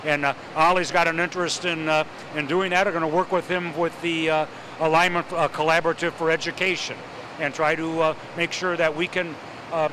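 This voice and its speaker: A man's voice: on the high side, flat delivery, background noise. Hesitant man mayor, filler-heavy. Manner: halting, many uhs and restarts. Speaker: city mayor. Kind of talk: casual interview talk.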